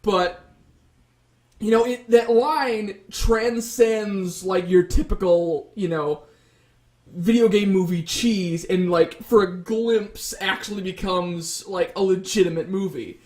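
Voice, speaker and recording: Guy w a pretty high voice arguing pop culture on a panel show, wordy and hedgy. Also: slow pace, a little mellow. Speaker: debate show panelist and moderator. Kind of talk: casual pop-culture debate.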